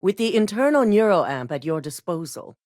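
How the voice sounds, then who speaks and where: A cool, exacting corporate executive in a video game, giving orders in clipped, controlled lines. Her pitch rises and falls with lively movement, and the close studio sound is completely dry. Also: normal speed, no room sound. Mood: commanding. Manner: clipped, controlled, coolly authoritative. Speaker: game character. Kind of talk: recorded game dialogue lines.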